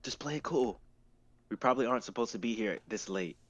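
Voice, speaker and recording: A man's voice: medium pitch, fast, natural voice. A man reading the part of a casual, jet-lagged young traveler in a script reading. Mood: grumbling, cocky. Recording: dull lo-fi mic.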